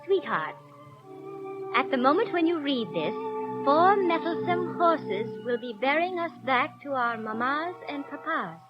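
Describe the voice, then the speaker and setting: A woman's voice: high voice, sing-song, unhurried. A woman playing a naive, eager young French working woman in a 1930s radio drama. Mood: reading aloud. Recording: muffled 1930s radio broadcast. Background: music.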